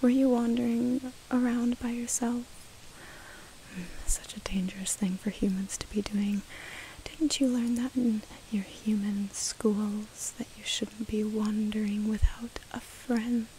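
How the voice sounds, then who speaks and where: Young woman doing a gentle curious forest nymph for asmr, slow soft whisper right up close, bit of hiss. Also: on the high side, steady tone, close to an everyday voice, low-quality recording. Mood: gentle, reassuring. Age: 20s. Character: curious, gentle female wood nymph, ancient and kindly. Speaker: ASMR roleplayer. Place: outdoors in woodland. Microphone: close to the microphone.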